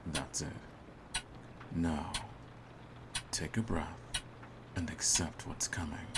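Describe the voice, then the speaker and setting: A man playing a cold, smug hitman in an audio roleplay, speaking in a theatrical, menacing and deliberate way. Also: extremely low pitch, fairly even intonation, background noise, exaggerated voice. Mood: cold, composed menace. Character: cold, smug male hitman holding his target at gunpoint. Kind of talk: scripted ASMR audio roleplay.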